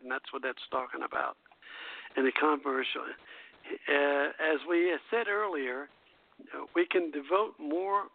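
A man hosting a radio show, reading a scripted music history aloud haltingly, stumbling over words and correcting himself. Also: fairly high pitch, gravelly. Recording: muffled phone-like internet radio line.

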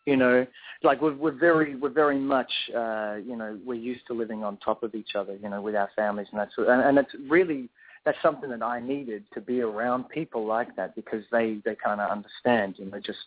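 A man's voice: lowish voice, dry recording. A man raised in Australia, a band musician answering interview questions in a rambling, casual way with many restarts. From born in South Africa, raised in Perth, Australia. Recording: tinny phone-line sound, dry.

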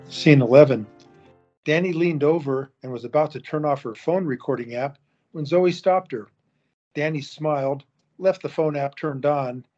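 Male narrator, steady storytelling read, muffled lo-fi sound. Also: fairly high pitch. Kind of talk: scripted audio-drama narration. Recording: dull lo-fi recording.

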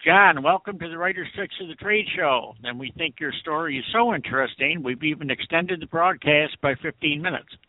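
A man with a slightly high voice co-hosts a radio interview in a prepared, read-out style. The recording is dry and narrow, like a phone line. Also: brisk, hardly any pauses, dry recording. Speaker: radio show co-host.

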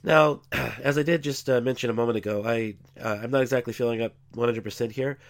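A man hosting a podcast, congested from a cold, explaining arguments in a measured way with frequent fillers. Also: mid-range voice. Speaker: podcast host. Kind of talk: scripted-sounding podcast commentary.

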